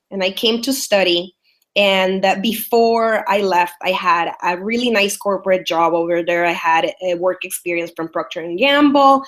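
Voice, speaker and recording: A woman with a Salvadoran Spanish accent, a native Spanish speaker, talking over a webinar call. She presents in an upbeat way, at a middle pitch, with frequent filler sounds. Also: fairly bright tone. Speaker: guest presenter, business strategist. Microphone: online webinar call.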